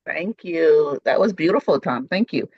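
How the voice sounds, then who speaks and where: Higher-voiced man on a muffled video call. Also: expressive, moderate pace, slightly rough, no room sound. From Cambodia. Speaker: panelist. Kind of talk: conversational introduction.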